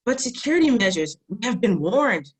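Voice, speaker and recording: Woman acting a husky, cheeky young girl. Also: fairly high pitch, big pitch swings, moderate pace. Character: plucky, cheeky young girl, tougher than she looks. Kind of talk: screenplay table read acting.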